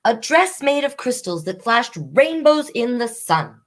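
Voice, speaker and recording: Woman playing an earnest, high-pitched pleading child. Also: very animated, measured pace, fairly bright tone. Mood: hopeful. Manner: pleading, sincere. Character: earnest, hopeful young child pleading with parents. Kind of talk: picture-book character voice.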